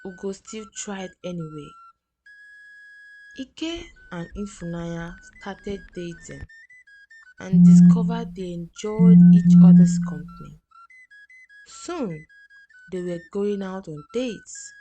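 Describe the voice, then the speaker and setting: A woman's voice: lowish voice, slow pace, pauses often, lo-fi sound, music in the background. A woman reading a scripted folktale as a storyteller.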